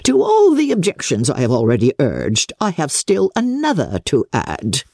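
Casting: haughty, scornful grande dame for a storybook played by a female narrator, indignant, sweeping pitch, husky edge. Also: wildly swooping pitch, normal speed, darkish tone, dry recording, clean studio sound, full character voice. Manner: imperious, declamatory, indignant. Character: haughty, incensed older aristocratic lady, imperious and scornful. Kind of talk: dramatic classic novel reading.

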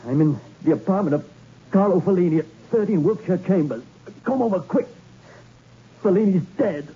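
An actor playing a dogged, jittery investigator in a 1960s radio thriller, with terse, probing, halting speech. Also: male voice, high voice, lively intonation. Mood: shaken, urgent. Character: dogged, jittery hard-boiled male investigator named Logan. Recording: dull, hissy old radio recording.

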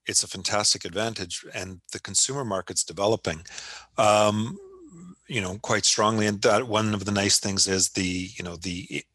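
A man's voice: fairly low pitch, steady tone, moderate pace, pushed and bright, no room sound. A man hosting a podcast interview, asking long, rambling questions in a casual, conversational way. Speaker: podcast host.

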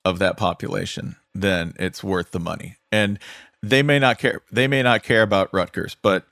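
A man with a lowish voice, captured in a dry, close recording. He chats as a guest on a sports podcast, speaking with emphasis and salty language, and likes to act out little imagined exchanges. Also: steady tone, moderate pace, vocal fry, a bit bright, no echo. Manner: profane, emphatic, acts out imagined dialogue. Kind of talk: casual sports podcast chat.

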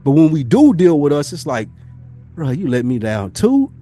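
A man's voice: a little high, wildly swooping pitch, moderate pace, mellow, soft background music. A man in a casual podcast discussion, swearing freely and acting out imagined exchanges as he tells his point. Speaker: podcast speaker.

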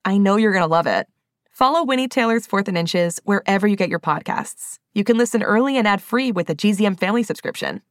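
A woman hosts with an upbeat, scripted promotional read. Her medium-pitched voice is dry and close, speaking directly to the listener. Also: expressive, quick pace, no room sound. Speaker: podcast host.

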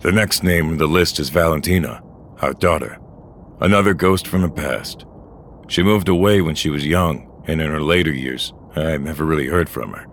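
A very deep-voiced, bitter old loner who works as a courier in a grim sci-fi audio drama. He sounds brooding and world-weary, with a dry sardonic edge. Light vehicle and ambient noise sits behind him. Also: moderate pace, fairly bright tone. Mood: brooding, regretful. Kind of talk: first-person noir audio drama acting.

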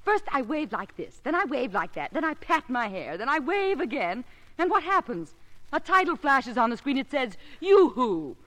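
An actress with an American accent playing an anxious, devoted young wife in 1950s radio drama style, hesitant and pleading. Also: female voice, high voice, very animated, moderate pace, a bit bright, low-quality recording. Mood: exasperated. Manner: hesitant, pleading, breaking off mid-phrase. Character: anxious, devoted young wife turned reluctant movie star.

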